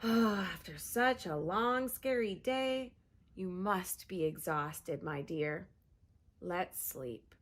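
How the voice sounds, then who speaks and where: A woman reading a storybook, voicing a tender mother penguin who speaks softly and soothingly. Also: very high voice, steady tone, a little slow, few pauses, pitched above the performer's own voice. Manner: soft, soothing.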